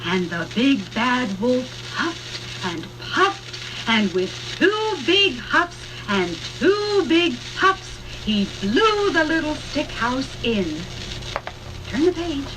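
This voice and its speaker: High-voiced, unhurried woman storyteller. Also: very animated. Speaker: storybook narrator. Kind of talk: scripted children's read-along narration. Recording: hissy 1960s vinyl record.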